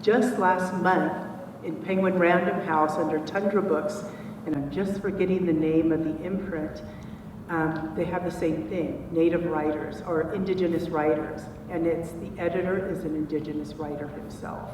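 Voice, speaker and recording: A woman's voice: deep voice, fairly even intonation, normal speed, distant mic. Woman in her sixties, echoey hall. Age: 60s. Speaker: panelist, children's author. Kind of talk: panel discussion remarks. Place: conference hall. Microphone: far from the mic. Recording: echoey hall recorded from afar, noisy.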